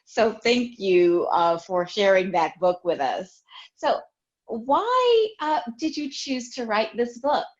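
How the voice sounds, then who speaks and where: A woman hosting a children's reading show, interviewing an author in a gentle, encouraging way. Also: fairly high pitch, big pitch swings, slow pace. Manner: gentle, encouraging, with many fillers. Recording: dull lo-fi sound.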